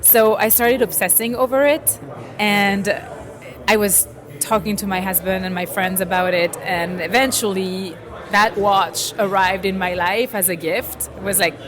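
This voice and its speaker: A middle-aged woman from France, talking casually in an interview, animated and laughing, often trailing off and restarting. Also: medium pitch, measured pace. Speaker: interviewee, watch collector. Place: busy indoor event. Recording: crowd chatter behind.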